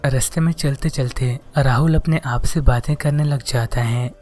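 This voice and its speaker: A man narrating a scripted cartoon horror story in an even, plain way. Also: mid-range voice, normal speed, soft background music.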